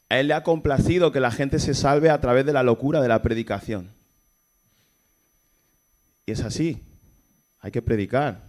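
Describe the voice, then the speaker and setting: A man's voice: medium pitch, darkish tone. A man from Cameroon, preaching a casual sermon at a church service and asking the congregation rhetorical questions. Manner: informal, asks rhetorical questions, chuckles between points. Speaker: evangelist preacher. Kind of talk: conversational sermon.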